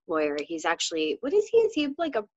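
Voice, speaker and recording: A woman's voice: lowish voice, huge pitch swings, fast, darkish tone, dry recording, highs cut off. A woman co-hosting a book discussion in a casual, candid, conversational way, often peppering her talk with "like". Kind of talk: casual chat.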